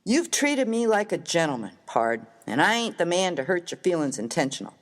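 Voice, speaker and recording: A man playing a rough, hearty, good-natured American miner of the Old West in a dramatised story reading, with slangy, folksy, emphatic speech. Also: high voice, big pitch swings, moderate pace. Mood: hearty, sincere.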